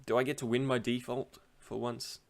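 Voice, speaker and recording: A man's voice: medium pitch, flat delivery, normal speed, vocal fry. An Australian man talking casually while playing online chess, rambling and thinking aloud, often laughing. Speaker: chess streamer.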